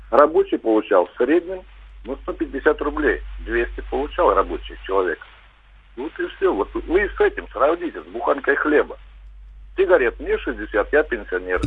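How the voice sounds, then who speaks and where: Very husky sixty-year-old man on the phone. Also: medium pitch. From Russia. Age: 60s. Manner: blunt, listing old prices in short bursts. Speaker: radio caller, pensioner. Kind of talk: call-in phone comment. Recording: narrow telephone line.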